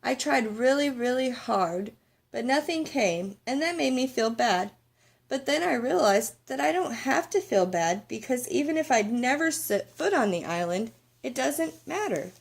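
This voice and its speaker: A woman's voice: high voice, lively intonation, measured pace, creaky, breathy edge, voice pushed up, full character voice. A woman voicing a curious, earnest young girl in a children's storybook, asking and telling things eagerly. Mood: earnest, proud. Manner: eager, questioning. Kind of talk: children's storybook read-aloud.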